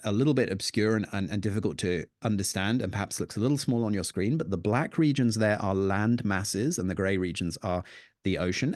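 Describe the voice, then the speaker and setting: Man, astronomer presenting slides, low voice, dry close sound, enthusiastic storyteller. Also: darkish tone, no room sound. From Danish heritage. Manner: enthusiastic, storytelling, playful asides. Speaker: astronomer giving a talk.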